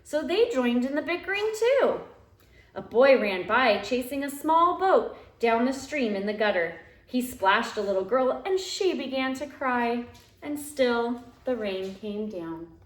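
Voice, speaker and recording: Female storybook reader for young kids, very lively intonation, expressive delivery, roomy home sound. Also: a little high. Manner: expressive, dramatic drawn-out phrasing. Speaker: teacher reading aloud to young pupils. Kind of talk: animated children's storybook read-aloud. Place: living room at home. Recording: roomy, echoey home recording.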